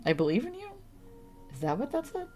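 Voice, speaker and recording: A woman with a deep, rough, slightly hoarse and congested voice, rambling through casual gameplay commentary and laughing at herself often. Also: huge pitch swings, brisk, a little mellow, soft background music. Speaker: streamer playing a visual novel.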